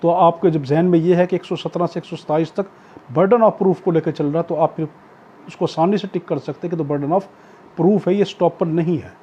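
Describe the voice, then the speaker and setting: Male lecturer, Pakistani accent, high mellow voice, explanatory and repetitive, recorded on dull lo-fi audio. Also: steady tone, normal speed, slightly rough. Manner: explanatory, repetitive, often checking with "okay". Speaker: law lecturer. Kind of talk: exam-prep law lecture with worked examples.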